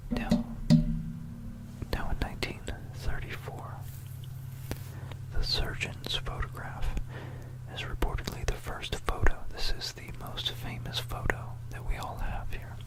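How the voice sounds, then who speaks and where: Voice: unhurried, poor audio. An ASMR narrator from Florida with an American accent whispers a musing, explanatory history talk close to a stereo microphone. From Florida, United States. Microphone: close stereo binaural microphone.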